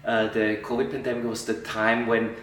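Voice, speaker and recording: A middle-aged man from Austria, an entertainment journalist answering interview questions, animated and acting out quoted colleagues, with frequent restarts. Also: fairly low pitch, moderate pace. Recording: echoey room, voice a bit far from mic.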